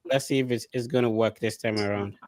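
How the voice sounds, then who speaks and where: A man's voice: mid-range voice, steady tone, a little fast, a little mellow, dry recording. A man hosting a casual live interview over an online video call. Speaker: host of an online interview show.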